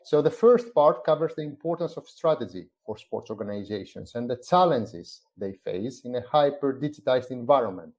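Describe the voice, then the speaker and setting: A man from Greece, a company's chief growth officer, presenting a business book in a measured way with frequent "uh" fillers. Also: mid-range voice, expressive, a little mellow. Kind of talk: semi-scripted presentation on a live stream.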